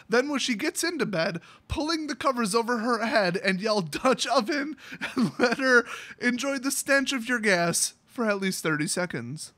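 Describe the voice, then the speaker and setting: High, bright-voiced man telling stories. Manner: amused, rambling, exclamatory, prone to breaking into laughter. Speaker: YouTube host. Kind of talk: casual comedic storytelling.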